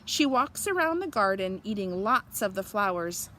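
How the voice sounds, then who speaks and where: A woman reading a storybook aloud for young children, pausing to explain and ask them simple questions. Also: mid-range voice, sing-song, pushed and bright, slight background noise.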